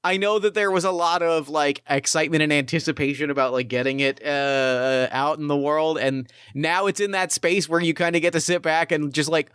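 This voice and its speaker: A man's voice: a little high, steady tone, moderate pace, hardly any pauses, a bit bright, clean tone, no room sound. Chatty, enthusiastic male podcast host. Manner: enthusiastic, chatty, many fillers. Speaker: podcast host and game master. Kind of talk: casual podcast chat. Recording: dry close podcast mic.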